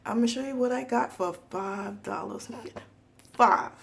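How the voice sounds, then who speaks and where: A woman with a raspy, hoarse voice from a cold, chatting casually to viewers in a home haul vlog, rambling with asides. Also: mid-range voice, unhurried. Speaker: home organizing vlogger.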